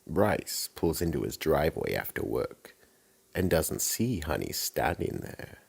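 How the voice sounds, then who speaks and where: A man with an extremely deep, gravelly voice narrates a horror radio play. He reads slowly and deliberately, with faint noise behind. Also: very animated. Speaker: narrator, announcer.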